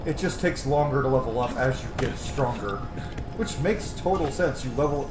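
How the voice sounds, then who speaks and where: A man's voice: mid-range voice, moderate pace, background noise. Roomy-sounding man doing rambling game commentary. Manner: rambling, slangy, casually sweary. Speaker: gamer commentating on own playthrough. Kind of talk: casual let's play commentary. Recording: roomy echo under loud game music. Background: loud video game music.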